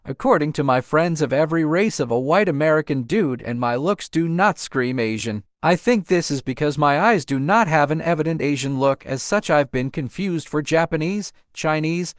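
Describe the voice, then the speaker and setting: A man narrating read-out online stories in a steady, even read-aloud delivery. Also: on the high side, dry recording, clean studio sound. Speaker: story narrator.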